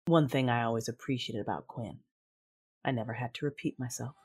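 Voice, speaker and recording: An actress playing a proud, passionate but guarded woman warrior, narrating an audio drama in the first person in a breathy, intimate, measured way. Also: rumbling low, a little mellow. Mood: sensual, tender. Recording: music under the voice. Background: music.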